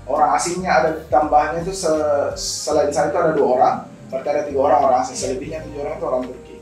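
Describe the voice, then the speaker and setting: A very echoey recording, picked up at some distance, carries a young man's slightly high voice. He talks as a guest on an informal talk show. He explains in a conversational way, often repeating a phrase before moving on. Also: fairly even intonation, racing, a little mellow. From Indonesia. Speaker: guest, scholarship alumnus. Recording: very echoey room, distant mic, music behind.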